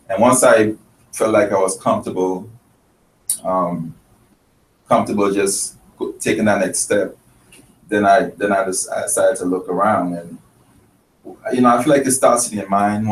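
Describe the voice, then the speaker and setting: A man from Grenada and Trinidad, a chef and restaurant owner, talking earnestly in an interview with many restarts. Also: deep voice. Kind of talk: casual interview talk. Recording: roomy, distant mic, faint noise.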